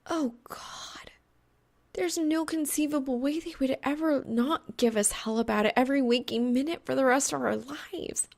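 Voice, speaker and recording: A woman voicing a mortified, dramatic young woman gamer who veers between flat monotone and thin whimpering. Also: high-pitched, moderate pace, vocal fry, pitched above the performer's own voice. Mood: horrified whimpering. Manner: thin-voiced, whimpering, dead-eyed monotone.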